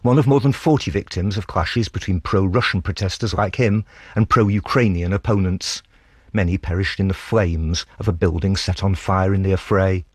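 A man working as a foreign correspondent, reading a scripted first-person radio dispatch in a measured, literary storytelling manner. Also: low-pitched, breathy edge, no echo, studio quality.

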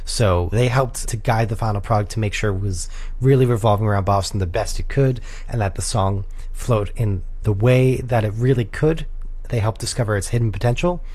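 A man's voice: on the low side, fairly quick. A young man in his twenties, a music producer being interviewed on radio, talking casually with lots of "like" and "sort of". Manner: casual, relaxed, many "like" and "sort of" fillers.

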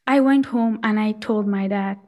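A young woman from Afghanistan telling her own life story in an earnest, halting way, with frequent fillers. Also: mid-range voice, lo-fi sound. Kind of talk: personal storytelling.

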